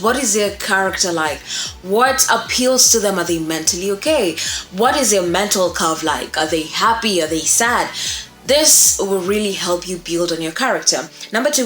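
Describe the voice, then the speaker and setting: A woman's voice: fairly low pitch, fairly bright tone. A young adult woman giving an instructional talk to camera as a presenter, emphatic and often checking in with her listeners. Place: small indoor room, seated. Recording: echoey room with faint music.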